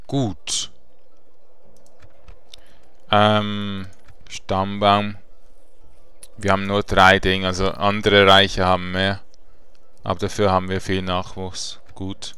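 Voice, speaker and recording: A man giving relaxed, chatty gameplay commentary with slangy asides. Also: deep voice, slow, pauses often, smooth, no echo, faint music behind. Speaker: let's play gamer.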